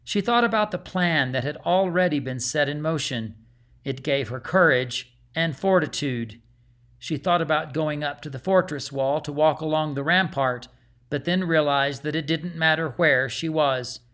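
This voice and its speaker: A man narrating a fantasy storybook in a measured, dramatic way. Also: on the high side, a bit bright. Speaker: audiobook narrator.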